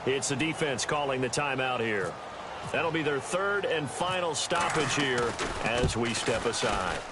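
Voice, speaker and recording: A man's voice: medium pitch, expressive, a little slow, natural voice. A man with an American accent calling football plays in a polished broadcast style, drawing out the big moments. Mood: businesslike. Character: upbeat male American football TV play-by-play announcer. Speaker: play-by-play commentator. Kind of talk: sports commentary. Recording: loud game and stadium noise behind.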